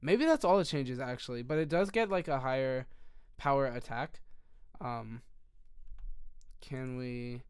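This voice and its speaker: A young man with a hoarse voice that keeps giving out, casually walking through a game strategy in a rambling, self-correcting way. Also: medium pitch, a little slow, long pauses, no room sound, clean studio sound. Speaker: video creator.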